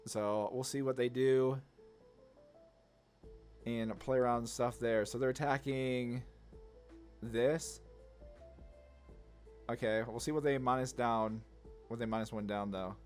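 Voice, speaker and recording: A man's voice: mid-range voice, fairly even intonation, takes it slowly, long pauses, darkish tone. Casual gaming man talking over music. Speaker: card game video host. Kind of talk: casual gameplay commentary, thinking aloud. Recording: music under the voice.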